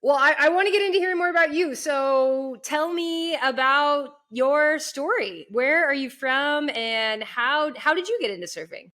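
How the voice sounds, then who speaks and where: A woman hosts a casual podcast interview. Her voice is bright and a little high, and she stumbles and repeats herself as she circles toward her questions. Her delivery sounds loose and conversational. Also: normal speed, few pauses. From outside the US. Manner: hesitant, repeating words, lots of "like". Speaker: podcast host.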